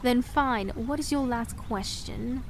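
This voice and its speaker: Woman playing a high-voiced, proud fantasy princess. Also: slow pace, slight background noise. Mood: indignant. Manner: formal, archaic, precise diction. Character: proud young princess, soft-spoken yet defiant, sharp-tongued. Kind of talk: fantasy audio drama acting.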